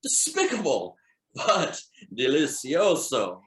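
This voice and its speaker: A man's voice: a little high, huge pitch swings, takes it slowly, exaggerated voice. A man at a screenplay table read playing a playful, flamboyant man with droll, theatrical delivery. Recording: echoey room.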